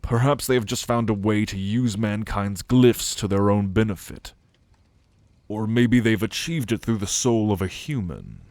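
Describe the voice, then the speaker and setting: A man acting a brooding, dutiful ancient celestial being in an ASMR audio roleplay, speaking in a measured, formal, pensive way. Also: fairly low pitch, a little mellow, no echo, close to an everyday voice. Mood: grave, pondering. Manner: measured, pensive, formal phrasing with deliberate pauses.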